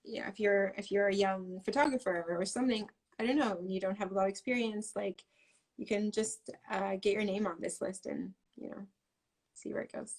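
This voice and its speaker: A woman's voice: medium pitch, steady tone, fairly quick, darkish tone. A woman guest in a casual interview, talking in a rambling way with frequent laughs. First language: English. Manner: rambling, many fillers and restarts, laughs often.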